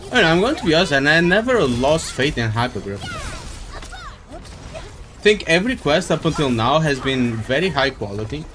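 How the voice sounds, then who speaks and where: A man's voice: on the high side, big pitch swings, moderate pace, pauses often, a bit bright. A man streaming a game, chatting casually and rambling as he thinks aloud. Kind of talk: casual live-stream chat while playing. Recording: game music behind the voice.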